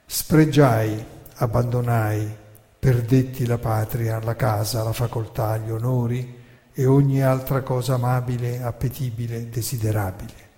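A man's voice: medium pitch, steady tone, a little slow, dark tone, natural voice. A man reciting as a defiant, learned exiled philosopher in a solemn, oratorical stage monologue. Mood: bitter, proud. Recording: long hall reverb.

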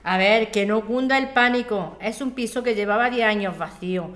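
A woman's voice: mid-range voice, normal speed, fairly bright tone, close to an everyday voice. A woman with an accent from Spain playing a tolerant, placating neighbour in a colloquial radio drama. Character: peacemaking female neighbour, soothing and tolerant. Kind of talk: acted community radio drama. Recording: roomy, echoing sound.

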